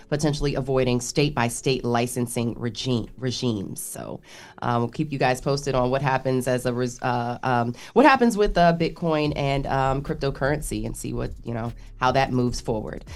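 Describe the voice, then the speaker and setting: An American woman with a notably low voice delivers radio news. She moves between reading copy and chatting, often stumbling over a word and starting again. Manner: halting, stumbling over words and restarting. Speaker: news reporter on a radio morning show. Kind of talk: news report with conversational asides.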